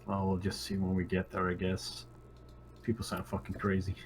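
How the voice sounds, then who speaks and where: A man playing a tabletop roleplaying game, chatting casually and haltingly as he thinks aloud. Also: low-pitched, completely flat delivery. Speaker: player. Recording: faint background noise, room ambience.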